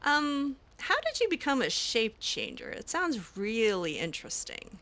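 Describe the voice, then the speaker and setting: Young woman, roleplaying host, hesitant, filler-heavy, bright tone, unhurried. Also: fairly high pitch, big pitch swings. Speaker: host roleplaying her game character. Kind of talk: roleplaying character dialogue aloud.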